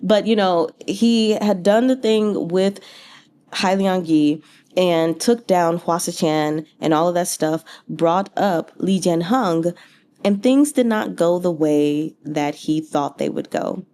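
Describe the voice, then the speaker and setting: A woman's voice: on the low side, slow pace, mellow. A woman giving casual, rambling book commentary as a channel host, thinking aloud in an informal, chatty way with slangy asides.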